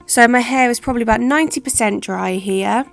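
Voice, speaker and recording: A woman, chatting casually through a hair-care vlog, a little croaky, rambling with lots of "sort of". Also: on the high side, a little fast, a bit bright, faint music behind. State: slightly croaky voice. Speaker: hair vlogger.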